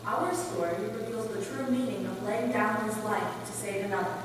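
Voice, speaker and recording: A woman's voice: mid-range voice, steady tone, normal speed, natural voice. A woman playing a solemn missionary mother who narrates in a scripted readers' theater recital. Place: large indoor recital hall, distant microphone. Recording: echoey hall, distant, clearly noisy.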